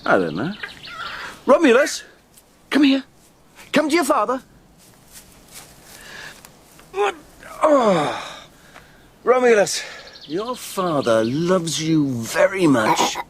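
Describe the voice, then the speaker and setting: An actor in a radio drama playing a bold, shrewd barbarian diplomat, persuasive, frank and assured. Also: male voice, high voice, wildly swooping pitch, takes it slowly, pauses often, a little hiss or hum, exaggerated voice. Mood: tender, proud.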